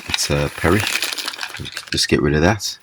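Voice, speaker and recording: A man giving a casual, hands-on repair demonstration, explaining haltingly step by step. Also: rumbling low, noisy background. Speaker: repair video presenter. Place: small indoor room at a table.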